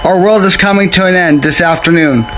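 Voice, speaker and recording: A man's voice: sits high, a little fast, fairly bright tone. A man playing a solemn official announcer, reading a scripted doomsday emergency broadcast. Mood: grave. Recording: muffled lo-fi audio with faint noise.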